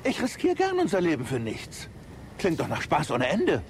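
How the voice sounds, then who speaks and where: A man's voice: on the high side, huge pitch swings, normal speed, a little hiss or hum. A man playing a grumpy, sarcastic, wisecracking pilot in a German audio drama. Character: grumpy, wisecracking male pilot with gambling habit.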